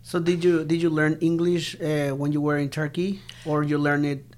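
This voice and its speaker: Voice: medium pitch, little pitch movement, a little mellow. An interviewer from Colombia asking questions in an encouraging way, often restarting phrases. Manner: encouraging, asks in halting restarts. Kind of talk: casual interview.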